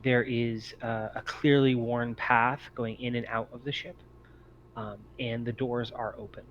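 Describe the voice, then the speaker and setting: Low-voiced man narrating haltingly, muffled and noisy. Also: level tone, a bit bright. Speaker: game master. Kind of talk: improvised scene narration for an online tabletop game. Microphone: online voice call. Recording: muffled, noisy online voice chat.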